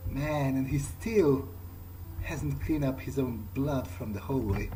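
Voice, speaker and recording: A man role-playing through a game in character, thinking aloud in a hesitant, halting, musing way. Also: mid-range voice, noisy background. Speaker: gaming video player, role-playing in character.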